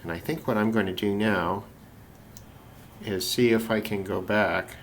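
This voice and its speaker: A man's voice: lowish voice, fairly even intonation, a little slow. A man presenting a hobby radio demonstration in casual, unscripted talk, musing and thinking aloud. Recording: clearly noisy hobby recording.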